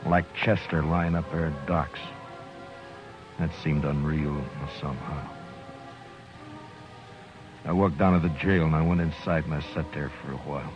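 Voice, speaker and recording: A man acting a grim, stubborn frontier lawman in a 1950s western radio drama, speaking in terse, deliberate, understated lines. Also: rumbling low, steady tone. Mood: somber. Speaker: lead actor and narrator. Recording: dull old radio recording with music.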